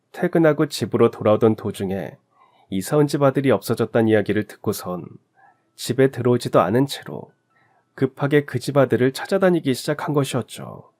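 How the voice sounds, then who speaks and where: A man narrating a heartfelt first-person life story in a gentle, reflective scripted telling. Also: medium pitch.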